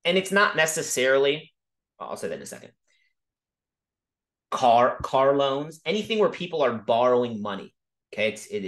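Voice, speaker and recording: A man casually teaching about markets over a video call, talking quickly and emphatically and often checking in with "okay?". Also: medium pitch, fairly bright tone. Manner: fast, emphatic, frequent "okay?" check-ins. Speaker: crypto educator hosting a Zoom call. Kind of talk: casual screen-share teaching.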